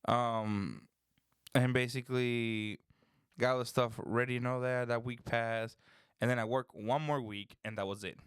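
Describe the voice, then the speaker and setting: A man's voice: medium pitch, measured pace, smooth, dry recording, clean studio sound. A young man from the Dominican Republic, rambling in casual chat as a podcast host. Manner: relaxed, rambling, says "bro".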